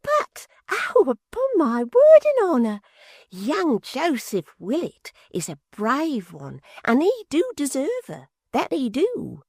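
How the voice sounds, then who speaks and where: A man reading an audiobook voices a spiteful gossiping young maidservant in a shrill falsetto with gushing, mock-breathless exclamations. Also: wildly swooping pitch, takes it slowly, darkish tone, dry recording, clean studio sound, exaggerated voice. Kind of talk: audiobook character voice.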